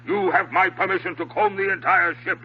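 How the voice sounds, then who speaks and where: An actor playing a huge, domineering old ship's captain in a 1950s radio drama, stern, formal and commanding. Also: male voice, high voice, flat delivery, fairly quick, natural voice. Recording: dull, noisy 1950s radio recording, hum.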